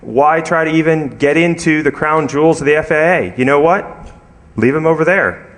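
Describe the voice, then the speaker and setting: A man speaking as a conference presenter, giving an informal technical talk in a conversational, halting way with many ums and asides. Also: a little high, a bit bright. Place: large conference hall, addressing an audience. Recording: reverberant hall recording.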